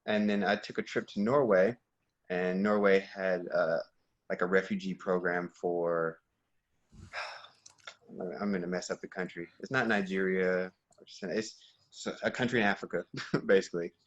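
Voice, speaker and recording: A young American man talking as a podcast guest in a relaxed, reflective way, with fillers and restarts. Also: low voice, unhurried. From United States. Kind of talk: casual conversation. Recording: dull lo-fi sound.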